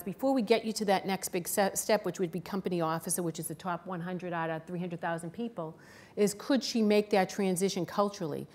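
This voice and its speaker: A woman's voice: mid-range voice, a little mellow. A middle-aged woman with a thick Boston accent speaks on a panel. She talks plainly and candidly. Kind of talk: panel talk.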